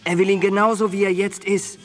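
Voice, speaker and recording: Bitter young soldier played by a man, highish voice. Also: level tone, a little fast, soft background music, natural voice. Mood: bitter, agitated. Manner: blunt, brooding, intense. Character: bitter, blunt wounded young soldier, protective of friend. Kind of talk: German radio drama acting.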